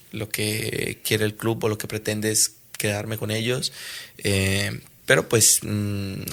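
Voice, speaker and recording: A man's voice: fairly low pitch, vocal fry. A young Colombian man with a Colombian Spanish accent, a footballer being interviewed, talking casually and hesitantly with many restarts. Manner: hesitant, restarts often, animated when telling anecdotes. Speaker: professional footballer guest.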